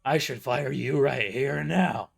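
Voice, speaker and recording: A man voicing a gross old cafe boss who blusters and croaks loudly. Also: medium pitch, measured pace. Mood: bullying. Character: gross old male cafe boss, bullying then cowardly.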